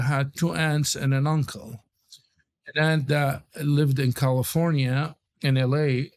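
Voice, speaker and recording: Gravelly Lebanese-accented man in his sixties. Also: medium pitch. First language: Arabic. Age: 60s. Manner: halting, many pauses and fillers. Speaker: podcast guest. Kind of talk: interview storytelling.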